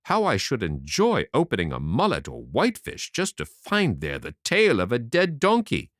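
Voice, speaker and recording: A man doing a gruff, stingy buyer. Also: mid-range voice, wildly swooping pitch, moderate pace, a bit bright, dry recording, studio quality. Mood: indignant. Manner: gruff, indignant. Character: grumpy stingy grown man, a drum-maker buyer.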